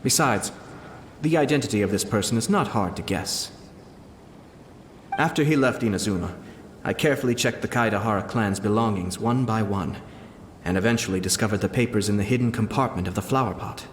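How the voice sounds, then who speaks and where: An actor playing a sly, composed man who speaks in a measured, formal, faintly amused way. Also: fairly low pitch, a little hiss or hum, lightly acted. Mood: knowing. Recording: roomy game voice-over with music.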